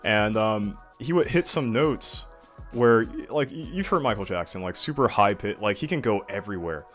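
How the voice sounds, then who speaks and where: A man with a deeper than average voice, chatting as a guest and telling lively stories full of fillers. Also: moderate pace, soft background music. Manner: animated storyteller, filler-heavy, laughs easily. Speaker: guest artist. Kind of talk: casual video call chat.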